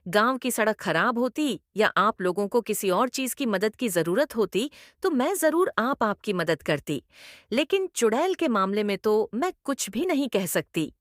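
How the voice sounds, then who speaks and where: A woman's voice: a little high, lively intonation, unhurried, no echo, studio quality. A woman playing a reasonable, apologetic village headwoman in a dramatized story. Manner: explaining, apologetic.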